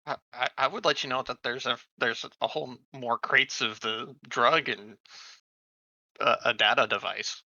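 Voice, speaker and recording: A man's voice: mid-range voice, fairly even intonation, normal speed, pushed and bright, no room sound. A man chatting casually as a tabletop role-play player, stammering and repeating words, on slightly dull audio. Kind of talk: casual in-game chat.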